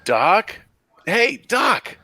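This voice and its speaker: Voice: mid-range voice, sing-song, drawn-out words, bright, forceful tone, dry recording, studio quality, heavily acted. A performer reading the part of an earnest, anxious teenage boy in a film script, with an urgent manner. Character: earnest, anxious seventeen-year-old boy time traveler. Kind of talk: table read of a film script.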